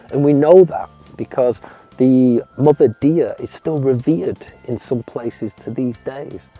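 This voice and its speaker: A man telling a folktale to children in a slow, gentle voice that often drops to a hush. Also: medium pitch, dull audio. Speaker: children's storyteller. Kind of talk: storytelling for children.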